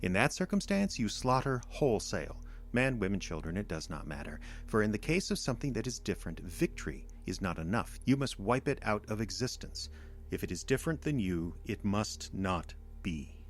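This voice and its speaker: Man voicing a deep, coldly formal alien being. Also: big pitch swings. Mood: grim, accusing. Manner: deliberate, formal, pronouncing judgment. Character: cold, judgmental inter-dimensional alien being, male. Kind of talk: audio drama acting. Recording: music under the voice.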